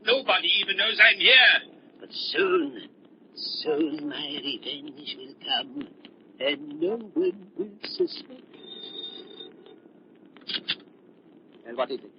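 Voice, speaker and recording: An actor playing an embittered, paranoid older scientist who feigns kindness, in theatrical 1930s radio melodrama style. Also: male voice, a little high, expressive, measured pace. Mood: bitter ranting. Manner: theatrical, volatile, swinging from soothing to ranting. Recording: muffled, lightly noisy 1930s radio recording.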